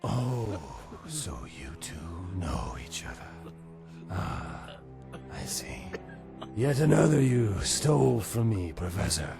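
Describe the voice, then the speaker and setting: A gloating vampire lord with a deep, gravelly voice, drawling his scorn slowly. His lines swing wide in pitch. Game music plays loudly behind him. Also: sing-song, full character voice. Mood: sneering. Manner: sneering, slow and gloating. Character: menacing male vampire lord, scornful and cruel. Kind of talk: video game cutscene acting. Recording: game cutscene with loud music.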